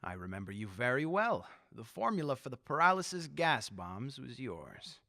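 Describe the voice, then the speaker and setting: A man's voice: mid-range voice, very animated, normal speed, gravelly, bright, forceful tone, full character voice. A man playing a dying old tyrant leader in a radio drama, ranting, imperious and threatening. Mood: imperious, probing. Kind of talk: 1930s-style radio drama acting.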